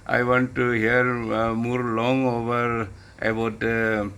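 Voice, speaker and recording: Indian guy on ham radio, flat gravelly voice, stop-start phrases, super hesitant. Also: medium pitch, takes it slowly. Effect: ham radio transmission. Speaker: amateur radio operator asking questions. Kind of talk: unscripted radio contact conversation. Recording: amateur radio link with light noise.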